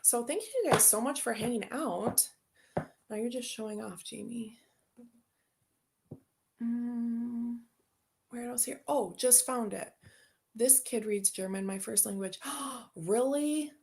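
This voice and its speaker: A woman's voice: on the high side, slow pace, creaky, pauses often, a little mellow. A woman hosting a tarot channel, chatting casually and rambling as she unboxes decks and answers viewer comments.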